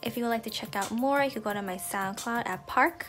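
Upbeat young woman over clear music. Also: fairly high pitch, brisk, fairly bright tone. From USA. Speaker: viewer sharing news. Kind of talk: casual video message.

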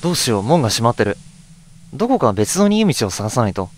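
A man's voice: medium pitch, big pitch swings, fast, a little hiss or hum. A man hosting a let's play, chatting casually to viewers and reading bits of on-screen text aloud as he goes.

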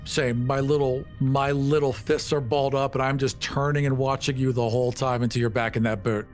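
A man's voice: mid-range voice, level tone, fairly quick, darkish tone, faint music behind. A man playing a tabletop role-playing game, describing his moves casually in a wry, playfully argumentative way. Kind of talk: casual in-character play and banter.

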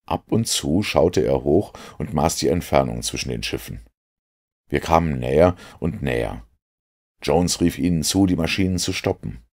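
A man with a gravelly, husky voice reading literary narration as an audiobook. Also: a bass voice, animated, normal speed, darkish tone. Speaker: audiobook reader.

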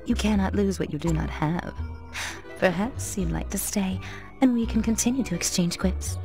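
A woman's voice: medium pitch, normal speed, over music, close to an everyday voice. A woman playing a sly, flirtatious card player in a video game, teasing and poised. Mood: flirty, sly. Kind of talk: western-flavored video game cutscene acting.

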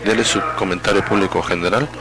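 A man interpreting live at a public meeting, speaking in halting, fragmented phrases and correcting himself as he goes. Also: deep voice, fairly even intonation, noisy background. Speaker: simultaneous interpreter.

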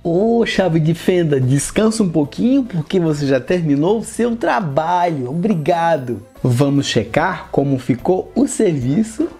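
A man's voice: on the high side, animated, measured pace, hardly any pauses, mellow, soft background music. A man in his thirties playing a toy mechanic, talking playfully to toys and young viewers. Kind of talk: children's show presenting. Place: indoor set with brick wall.

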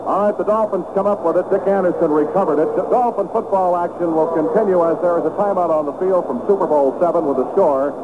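A man's voice: sits high, fast, hardly any pauses. An American man calling a football game play by play for radio in clipped, descriptive phrases. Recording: narrow, noisy 1970s radio broadcast.

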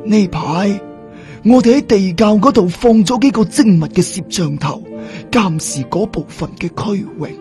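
A man voicing a sly, secretive old boss in an audiobook, speaking in a deliberate, ominous way. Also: sits high, music in the background. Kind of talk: audiobook character dialogue.

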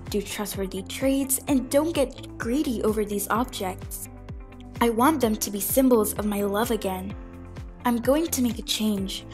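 A woman's voice: mid-range voice, natural voice. A woman voicing an earnest, grieving fountain goddess who speaks in dramatic, pleading speeches in an amateur fan film.

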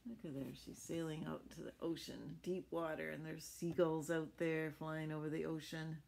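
Middle-aged woman storyteller, creaky low voice. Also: fairly even intonation, moderate pace, darkish tone. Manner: explanatory, tag questions to young listeners. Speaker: storyteller reading a picture book aloud. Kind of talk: children's read-aloud with chatty asides. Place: small furnished room at home.

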